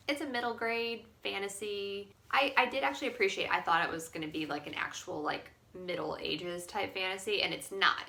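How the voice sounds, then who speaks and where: A woman's voice: on the low side, pushed and bright. A young American woman chatting casually as a book vlogger, blunt and emphatic, with opinions and casual swearing. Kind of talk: casual chat, monthly reading wrap-up. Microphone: phone camera.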